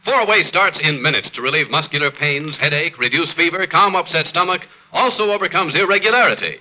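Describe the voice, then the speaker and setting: An American male announcer delivering a scripted 1950s radio commercial pitch. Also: animated, fairly quick, sharp tone, low-quality recording.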